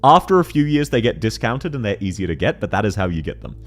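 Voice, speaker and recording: A young man with a deep voice streaming a game and chatting with viewers, excitable and slangy, laughing often and quick to correct himself. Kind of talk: casual live-stream chat, answering viewer questions.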